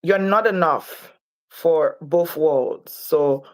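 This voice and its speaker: A woman from Nigeria, speaking as a guest in an interview, reflective and deliberate in her delivery. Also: deep voice. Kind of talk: interview conversation.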